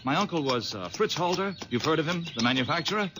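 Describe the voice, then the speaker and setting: A man's voice: on the high side, quick pace, over music, natural voice. An actor playing an eager, friendly young man in a radio drama. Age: young adult. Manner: eager and animated. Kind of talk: 1970s radio drama acting. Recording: noisy old radio recording.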